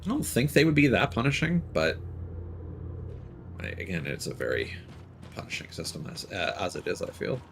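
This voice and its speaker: A man with a fairly low voice gives casual, off-the-cuff gameplay commentary. He muses haltingly as he plays, thinking aloud. Clear background noise from the game runs under his voice. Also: wildly swooping pitch. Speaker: video game live streamer. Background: video game ambience.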